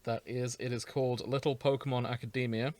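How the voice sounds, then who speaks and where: A man's voice: medium pitch, level tone, brisk, darkish tone, no room sound. A man co-hosting a podcast, chatting casually about fan fiction. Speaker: podcast co-host.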